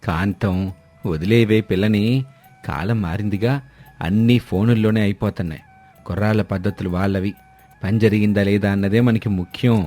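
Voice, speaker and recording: A man voicing a mild, peace-loving older father-in-law in a cartoon story, soft-spoken and placating. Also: fairly low pitch, steady tone, brisk, close to an everyday voice. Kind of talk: acted dialogue in an animated moral story. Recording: music behind the voice. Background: music.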